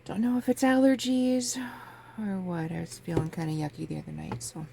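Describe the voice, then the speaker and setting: A sniffly woman hosting a casual craft tutorial, chatting in a relaxed way as she works at her table. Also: fairly low pitch, a little fast, vocal fry. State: sniffly from a cold. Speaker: crafting channel host. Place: home craft table with a fan running. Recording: faint fan noise in background.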